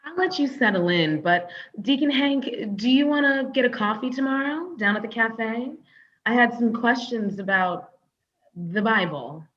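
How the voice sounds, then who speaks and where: A woman's voice: a little high, unhurried, close to an everyday voice. A woman playing a very friendly, flirty churchgoing neighbour of sixty in a comedy table read, on slightly dull audio. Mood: coy. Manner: gushing, neighbourly.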